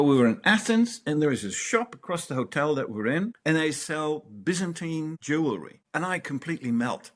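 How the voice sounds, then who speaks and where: Lively storytelling middle-aged man. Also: fairly high pitch. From Denmark, raised in the Netherlands. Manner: animated, swears and laughs while telling stories. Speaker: interview guest. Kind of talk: reflective storytelling.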